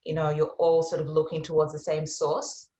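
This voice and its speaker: Low-voiced Australian woman, echoey room. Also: completely flat delivery, moderate pace, a little hiss or hum. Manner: reflective and earnest, with soft fillers. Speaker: musician guest. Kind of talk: casual interview answers.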